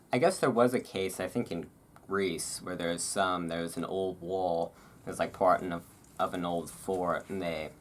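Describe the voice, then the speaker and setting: A person reading aloud in a relaxed way with casual, rambling asides, halting and self-deprecating about it. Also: deep voice. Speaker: reader and host. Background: thunderstorm ambience.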